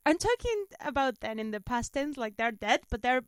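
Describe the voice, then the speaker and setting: A woman from Spain with a Spanish accent, chatting casually as a comedian guest, animated and self-deprecating. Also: on the high side, moderate pace, dry recording, clean studio sound. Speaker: stand-up comedian, interview guest. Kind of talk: casual chat, telling anecdotes.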